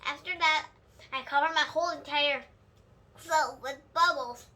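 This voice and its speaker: Very high, bright female storybook reader. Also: measured pace. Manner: stumbles and rereads words. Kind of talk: children's book read-aloud.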